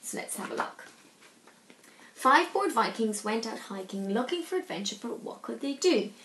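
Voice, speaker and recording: A young woman reading a children's picture book aloud in an expressive, animated storytelling manner. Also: on the high side. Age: young adult. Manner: animated, drawn-out emphasis. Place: small living room at home, indoors. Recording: roomy home phone recording.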